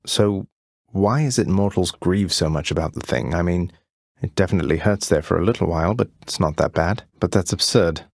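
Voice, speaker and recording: A young rebel prince of the underworld speaks in a deep British voice. He is courteous but wry, delivering his game dialogue with casual understatement. The sound is dry, with no room around it. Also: fairly quick, gravelly, no echo. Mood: curious, earnest. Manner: dry, understated, conversational politeness. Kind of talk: video game character dialogue.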